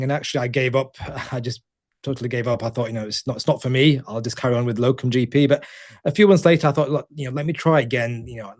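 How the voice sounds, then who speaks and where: A man, a GP, giving a webinar talk in a monotone voice, halting and often repeating words as he goes. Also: mid-range voice. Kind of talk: webinar presentation.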